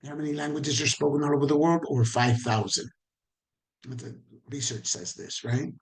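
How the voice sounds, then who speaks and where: A man's voice: a little high, fairly quick. Caribbean-accented man teaching a training. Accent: Caribbean Spanish. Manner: conversational, asks the audience questions, repeats for emphasis. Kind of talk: online training talk.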